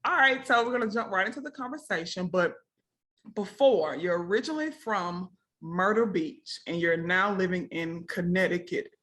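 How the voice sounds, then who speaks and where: A woman hosting a web interview show on a video call, bubbly and quick to laugh. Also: on the low side, a bit bright. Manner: bubbly, laughs often, repeats "very nice". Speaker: host.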